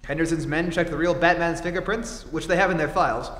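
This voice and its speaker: An actor playing an earnest, persistent newspaper reporter in an old-time radio play re-enactment. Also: male voice, on the high side, fast talker, a bit bright, close to an everyday voice. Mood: urgent, convinced. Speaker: amateur theatre actor. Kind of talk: stage re-enactment of 1940s radio acting. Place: theatre stage, live performance. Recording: echoey hall, faint background noise.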